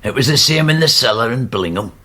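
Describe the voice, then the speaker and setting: A man's voice: medium pitch, expressive, fast talker, pushed and bright. An actor playing a frail, confused old man who rambles and repeats himself. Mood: gruff. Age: older. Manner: wandering, repetitive "aye". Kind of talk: radio drama acting.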